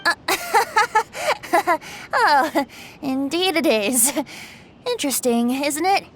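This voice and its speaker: A woman's voice: sits high, big pitch swings, extremely slow, fairly bright tone, slight background noise, full character voice. A woman playing a carefree, youthful male bard in a video game, airy and theatrical, with flowery phrasing and playful vanity. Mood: amused. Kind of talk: video game character acting. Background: game music.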